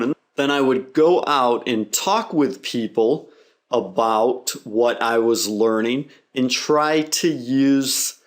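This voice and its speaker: A middle-aged man who is a native English speaker, explaining his language-learning method to students in a steady, measured way. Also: medium pitch. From English-speaking country. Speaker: English teacher.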